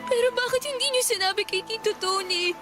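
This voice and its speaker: An actress playing a wronged young Filipino daughter in a radio drama, timid yet insistent, polite and plaintive. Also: female voice, extremely high pitch, brisk. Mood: pleading, confused. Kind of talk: Tagalog radio drama acting. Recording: music under the voice.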